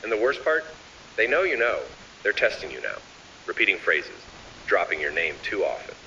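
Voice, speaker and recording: A man narrating scripted analog horror, addressing the listener in an ominous, deliberate and measured manner. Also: on the low side, sing-song, sharp tone. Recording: dull lo-fi, distant, hissy.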